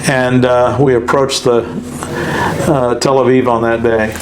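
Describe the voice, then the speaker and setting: A man hosting a faith-based interview, speaking earnestly with frequent pauses and filler sounds. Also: medium pitch, level tone, background noise. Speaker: host and ministry president.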